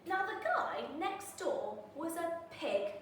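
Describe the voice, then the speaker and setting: A woman voicing a sly, self-justifying big bad wolf in an animated, conspiratorial children's storybook reading that speaks straight to the listener. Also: extremely high pitch, slow pace, full character voice. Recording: echoey room, distant mic, faint noise.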